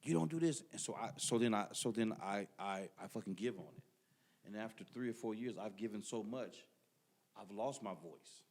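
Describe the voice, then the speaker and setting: A man's voice: fairly low pitch, lively intonation, normal speed, darkish tone. A middle-aged man in casual podcast debate, talking emphatically and repeating words when others cut in. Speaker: podcast guest.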